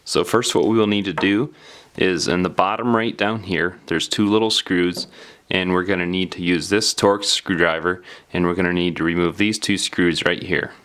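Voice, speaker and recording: A man's voice: sits low, fairly even intonation, moderate pace, a bit bright, clean tone. A man narrating a step-by-step repair tutorial in an informal, plain-spoken, explanatory way. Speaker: DIY phone repair tutorial presenter. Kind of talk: casual step-by-step instructional narration.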